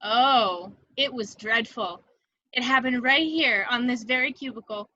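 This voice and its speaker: A woman's voice: fairly high pitch, unhurried, sharp tone, no room sound, dull audio. A woman playing the mournful ghost of a teenage girl in a script read, plaintive and dramatic. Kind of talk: amateur table read of a film script.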